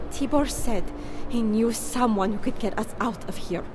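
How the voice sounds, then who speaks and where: An actress playing a bitter, distraught wife in a video game, with an accusing, wounded delivery. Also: female voice, fairly high pitch, slow, music in the background. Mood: distraught, pleading. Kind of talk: video game cutscene acting.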